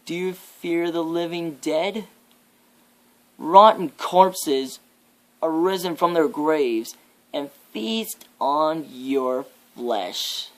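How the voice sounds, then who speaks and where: A man voicing a menacing devil in an ASMR horror roleplay, with an ominous, drawn-out, theatrical delivery. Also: fairly high pitch, poor audio. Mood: rising menace. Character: menacing devil, a living nightmare preaching fear and death.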